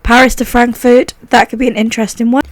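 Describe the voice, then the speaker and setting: Croaky woman narrating gameplay. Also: on the high side, flat delivery, fast, dry recording. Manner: chatty, upbeat, thinking aloud while playing. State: croaky sore throat. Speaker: gaming channel host. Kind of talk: casual gameplay commentary.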